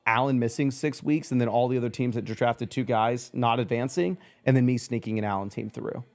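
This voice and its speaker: A man with a middle-pitched voice hosts a casual chat about fantasy football. He is upbeat and chatty, reacting quickly and moving the talk along. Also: fairly even intonation, creaky. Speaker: host reading live chat.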